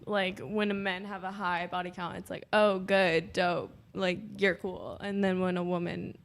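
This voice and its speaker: A woman's voice: on the low side, level tone, a little slow, creaky. An eighteen-year-old woman on a panel, giving her opinion in a giggly, casual way. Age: teens. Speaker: panel guest. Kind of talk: casual discussion.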